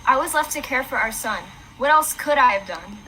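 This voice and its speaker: A furious, fed-up ex-wife played by a woman in a radio drama. Her scolding voice is high and sharp, over faint background noise. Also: expressive, fairly quick, poor audio. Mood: angry. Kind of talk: radio play acting.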